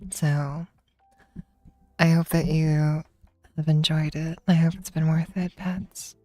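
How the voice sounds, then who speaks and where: Low, slightly hoarse woman streamer. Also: fairly even intonation, a little mellow, dry recording, soft background music. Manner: slow, teasing, drawn-out praise. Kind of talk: teasing ASMR-style livestream chat.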